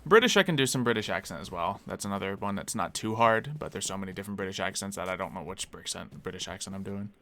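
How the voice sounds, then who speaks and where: A man's voice: low-pitched, animated, fast, hardly any pauses, pushed and bright. A man with a slight Philadelphia accent chatting casually on a live stream while he plays, musing aloud and laughing easily.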